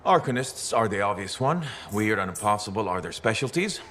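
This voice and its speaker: An actor playing a steady, pragmatic male mentor in a video game, calm and reassuring in manner. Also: lowish voice, brisk, a little hiss or hum. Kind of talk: video game cutscene acting.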